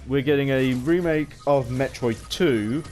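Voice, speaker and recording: A man's voice: medium pitch, a little slow, a little mellow, faint music behind. A man giving rambling, casual gaming commentary to viewers, often stumbling and restarting his phrases, with an earnest fan's enthusiasm. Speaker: gaming vlogger.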